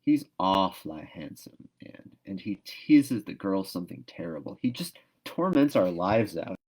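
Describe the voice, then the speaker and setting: A man's voice: sits low, expressive, a little slow, a little mellow, low-quality recording. A man voicing a gossipy, smitten young schoolgirl in a storybook.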